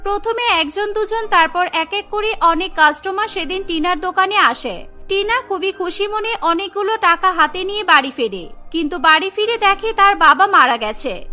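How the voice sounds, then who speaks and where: Very high-pitched woman narrating a cartoon story. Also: bright, forceful tone.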